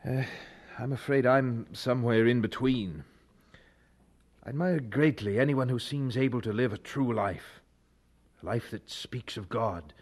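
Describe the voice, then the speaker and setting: An actor playing an earnest, troubled young university student in a radio drama, speaking formally and with some hesitation. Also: male voice, medium pitch, unhurried, a little mellow, close to an everyday voice. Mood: anguished, confessional.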